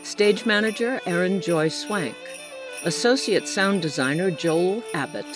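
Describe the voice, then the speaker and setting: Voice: medium pitch, lively intonation, unhurried. An announcer giving a scripted radio credits reading in a formal, orderly manner. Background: jingling holiday music.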